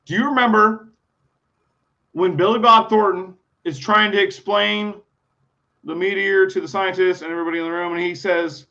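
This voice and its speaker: A man's voice: high voice, fairly even intonation, moderate pace, sharp tone. A man, co-hosting a casual podcast, blunt, profane and argumentative. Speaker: podcast co-host. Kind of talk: crude casual chat. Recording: slightly dull lo-fi sound.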